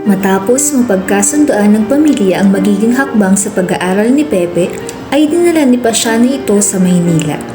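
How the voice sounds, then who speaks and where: A woman's voice: medium pitch, expressive, normal speed. Woman narrator over music in a roomy space. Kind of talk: scripted radio drama narration. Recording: roomy sound under clear music.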